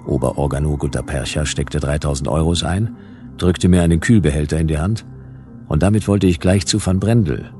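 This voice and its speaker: A deep-voiced private eye narrates a sci-fi radio play. He is dry, wry and laconic in a hard-boiled way. Faint music sits behind him. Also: brisk, dark tone. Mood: wry, matter-of-fact. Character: laconic, wry male private detective narrating his case.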